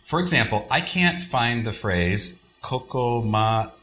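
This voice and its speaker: Bright-toned man co-hosting a lesson. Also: medium pitch, takes it slowly. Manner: relaxed, reads letters aloud with small stumbles. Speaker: podcast co-host reading listener mail. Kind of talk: casual language-lesson chat.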